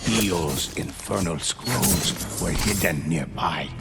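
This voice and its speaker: Deep gravelly male game narrator. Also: takes it slowly. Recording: narration over loud music.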